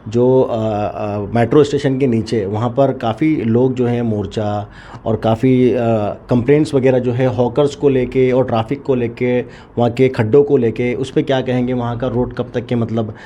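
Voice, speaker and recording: A man's voice: medium pitch, fairly even intonation, moderate pace, warm tone, highs cut off, slight background noise. A man asking questions as a news interviewer, hesitant and repeating his phrases.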